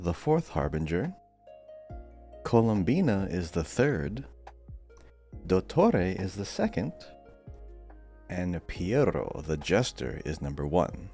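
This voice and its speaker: A man's voice: low voice, sing-song, measured pace, pauses often, a little mellow. A man co-hosting a podcast, chatting casually and explaining video game lore. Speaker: podcast co-host. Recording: music playing behind.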